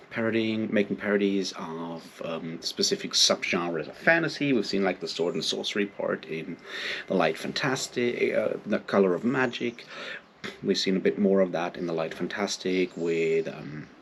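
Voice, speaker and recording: Man, low gravelly voice, hesitant thinking-aloud delivery, informal book review, faint background noise. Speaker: book reviewer, video host. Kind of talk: informal book discussion, unscripted.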